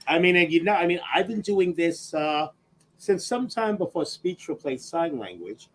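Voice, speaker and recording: A man with a raspy whiskey voice, co-hosting a drag podcast in a teasing, theatrical chat style. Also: expressive, moderate pace. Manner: theatrical, teasing, sweeping pitch. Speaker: drag queen podcast co-host. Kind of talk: campy casual chat.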